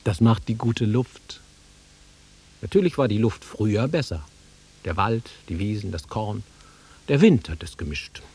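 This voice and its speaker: A nostalgic middle-aged man in a radio play, with a low, husky voice that swings widely in pitch. He is insistent and touchy, dwelling wistfully on what he holds dear. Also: expressive, unhurried, long pauses, dark tone, full character voice. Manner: wistful, insistent, easily offended. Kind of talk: naturalistic radio drama acting.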